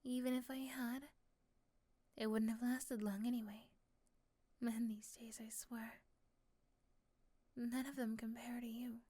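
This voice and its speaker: A woman playing a tired, sweet, teasing young woman in love in a soft ASMR romantic roleplay, at times whispering breathily. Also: on the high side, level tone, measured pace, long pauses. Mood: tender, drowsy.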